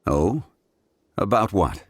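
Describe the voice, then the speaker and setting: A man playing an ancient, wise and reserved god in a video game, speaking in a measured, formal and grave manner. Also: on the low side, expressive. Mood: calm, courteous. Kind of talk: dramatic video game dialogue.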